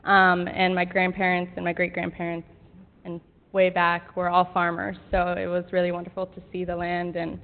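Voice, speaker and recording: A woman's voice: on the low side, monotone, moderate pace. A woman from Boston introducing herself in an academic presentation, hesitant with fillers. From Boston area. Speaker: research presenter. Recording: tinny, echoey lecture hall recording.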